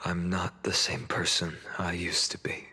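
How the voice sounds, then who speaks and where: A man playing a reflective, changed man in a video game, with a husky, whispery voice. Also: low-pitched, completely flat delivery, unhurried, pushed and bright, heavily acted. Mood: resigned. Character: reflective, changed man letting go of his past. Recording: reverberant hall.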